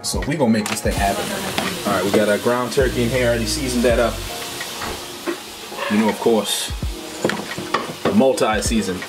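A man's voice: lowish voice, steady tone, moderate pace, pauses often, loud music behind the voice. A young man casually talking through his meal prep in a home kitchen, with a laid-back, slangy way of talking into a handheld camera. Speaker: fitness vlogger. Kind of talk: casual cooking vlog. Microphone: handheld camera mic.

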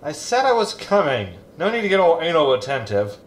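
An actor playing a grumpy, sardonic ship's hologram who grumbles through a sci-fi sitcom audio drama. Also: male voice, on the high side, big pitch swings, a little fast, noisy background. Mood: grumbling. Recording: echoey room with background ambience.

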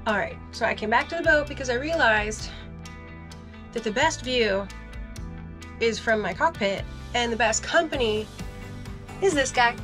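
A woman's voice: fairly high pitch, animated, a little slow, long pauses, fairly bright tone, soft background music. A woman in her thirties chatting casually to the camera about sailing life, upbeat and chatty with fillers. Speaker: sailing vlogger. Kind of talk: casual vlog talk to camera. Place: boat cabin, indoors.

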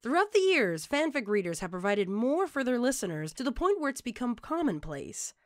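Female tutorial presenter, animated melodic delivery, instructional scripted read, dry studio-like sound. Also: fairly high pitch, normal speed, dry recording.

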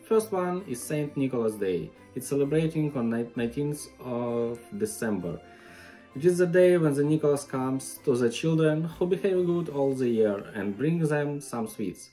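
Man from Ukraine, mellow careful voice. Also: mid-range voice, animated, moderate pace, faint music behind, low-quality recording. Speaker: company employee. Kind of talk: recorded greeting and explanation.

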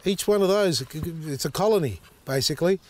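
A man, slightly husky as his voice recovers, chatting casually while demonstrating repotting outdoors in his garden. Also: on the high side, lively intonation, normal speed. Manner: chatty, thinks out loud, many fillers. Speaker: gardening show host. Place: outdoor garden beside a tall hedge.

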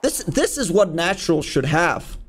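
A man's voice: on the high side, very animated, moderate pace, slight background noise. A man in his twenties giving casual, jokey reaction commentary in informal language, as a video host. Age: 20s. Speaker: YouTube host reacting to game cutscenes. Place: small home room, indoors.

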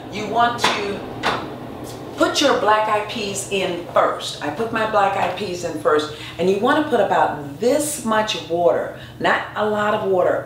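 A middle-aged woman walks viewers through a home recipe in a chatty, encouraging way, often restarting her sentences. Her voice rings around a hard, echoey kitchen and is picked up from a distance by the camera, with a faint room hum underneath. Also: on the low side. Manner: chatty, encouraging, with frequent restarts and light laughs. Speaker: cooking instructor and nutritionist. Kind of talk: casual cooking demonstration. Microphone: far camera.

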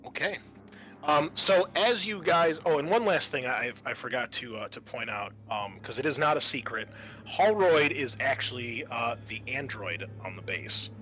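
A man running a tabletop roleplaying game as game master, narrating in a halting, improvised way. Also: mid-range voice, animated, pushed and bright, poor audio. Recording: noticeable background noise.

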